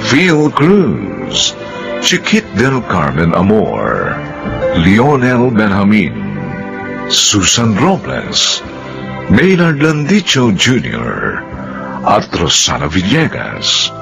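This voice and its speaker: A man's voice: fairly low pitch, wildly swooping pitch, very slow, gravelly. A man announcing a radio drama and its credits in a dramatic, sweeping delivery. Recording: theme music under voice.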